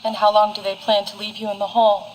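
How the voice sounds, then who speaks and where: Woman talking on a phone call, medium pitch, emphatic and insistent, bit of noise on the line. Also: monotone, fast, darkish tone. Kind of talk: phone conversation.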